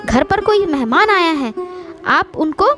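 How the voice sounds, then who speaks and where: A woman's voice: extremely high pitch, animated, a little slow, music in the background, voice pushed up. A woman voicing a scornful, bossy young daughter-in-law in a moral story, scolding and sarcastic. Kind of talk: Hindi moral story voice acting.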